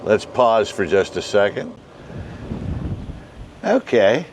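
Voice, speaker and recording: Slow-talking older man, halting. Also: on the low side, big pitch swings, slight background noise, low-quality recording. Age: 60s. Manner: halting, deliberate delivery. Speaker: host, ham radio hobbyist. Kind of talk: casual step-by-step tutorial. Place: outdoors, residential backyard and covered patio.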